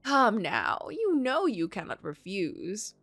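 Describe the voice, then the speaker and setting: A woman voicing a sly, courtly gentleman in a story game, coaxing and insistent as he offers magical bargains. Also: medium pitch, huge pitch swings, slow, soft background music, exaggerated voice.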